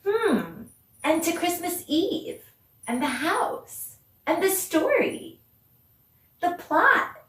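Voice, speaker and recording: A woman teaching a children's online dance lesson, speaking in an encouraging, animated way with lots of questions and deliberate pauses. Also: high-pitched. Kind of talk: children's online arts lesson, addressing viewers. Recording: echoey room, distant mic, faint noise.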